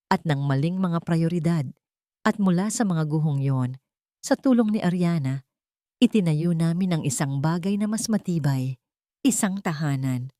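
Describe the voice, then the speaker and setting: A woman narrating a scripted romantic story in a measured, sentimental storytelling manner. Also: lowish voice, dark tone, dry recording, studio quality. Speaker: narrator of romance audio stories.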